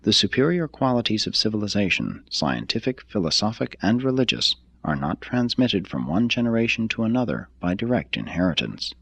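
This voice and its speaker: A man reading a formal text aloud as scripted narration, in a measured, deliberate manner. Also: on the low side, big pitch swings, gravelly, a bit bright, breathy edge, dry recording, lo-fi sound. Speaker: audiobook reader.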